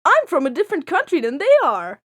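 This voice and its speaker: Halting young woman from Estonia. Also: high-pitched, wildly swooping pitch, fairly bright tone. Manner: halting, many fillers and restarts, joking. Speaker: podcast co-host. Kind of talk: casual chat among friends. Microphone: own mic on a voice call.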